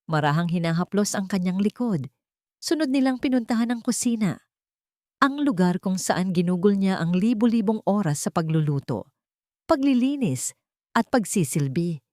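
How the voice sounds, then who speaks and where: A woman reads a scripted dramatic story in a measured, solemn way, phrase by short phrase. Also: mid-range voice, expressive, warm tone, dry recording, studio quality. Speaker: story narrator.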